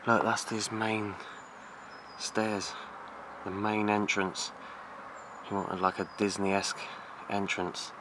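A young British man vlogging as he explores abandoned grounds outdoors, excitable and slangy, often hushed, recorded on a handheld camera. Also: fairly low pitch, slow pace. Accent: British English. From England. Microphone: handheld action camera. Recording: noisy outdoor camera audio.

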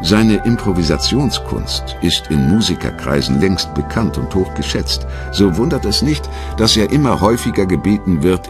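A man with a very low, deep voice reads documentary narration. His delivery is measured and articulate, as in a scripted biography. The sound is dry and close, with no room on it. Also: slightly rough, no echo.

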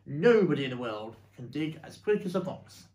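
Storybook father fox voiced by a reader, brave and commanding, lively swoops. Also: mid-range voice, unhurried, voice pushed up. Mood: excited, commanding. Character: brave father fox, wounded, gruff and determined. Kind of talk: animated storybook read-aloud.

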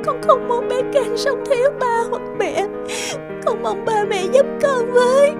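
High-voiced, distressed betrayed young mother. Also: steady tone, unhurried. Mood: pleading. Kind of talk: cartoon drama acting. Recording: loud music behind the voice.

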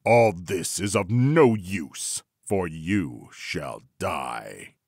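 Man acting a bellowing, murderous fairy-tale husband, drawn-out. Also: low-pitched, animated, creaky, dry recording, studio quality, exaggerated voice. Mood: menacing, merciless. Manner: bawling, menacing, drawn-out commands.

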